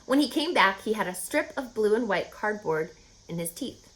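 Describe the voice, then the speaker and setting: A woman reading a children's story aloud as narrator, in an expressive storytelling style. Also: mid-range voice, moderate pace, low-quality recording. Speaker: audiobook reader.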